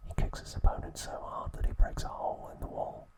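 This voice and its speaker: A man narrating scripted ASMR in a breathy, unvoiced whisper, with a measured, soothing delivery. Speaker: ASMR channel host.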